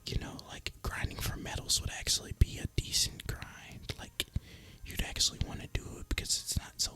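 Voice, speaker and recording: A person speaking in a breathy, unvoiced ASMR whisper, musing casually and informally as gaming commentary. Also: moderate pace, sharp tone. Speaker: host of an ASMR gaming channel.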